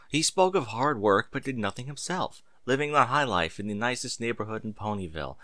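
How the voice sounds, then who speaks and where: A man's voice: on the low side, fairly bright tone, dry recording, studio quality. A man reading a story aloud as scripted narration, with an emphatic, theatrical delivery. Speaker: audiobook-style story reader. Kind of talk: expressive scripted narration of fan fiction.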